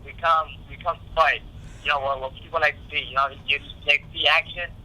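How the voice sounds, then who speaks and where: Man, very bright tone, slightly high pitch, phone interviewee, casual, halting, repetitive phrasing. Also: steady tone. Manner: halting, repetitive, frequent "you know". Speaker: professional boxer being interviewed. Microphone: telephone call. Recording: tinny phone line.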